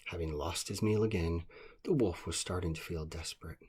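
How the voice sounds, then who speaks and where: A man narrating a children's bedtime story in a calm, scripted read-aloud style. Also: deep voice, a little fast, slightly rough.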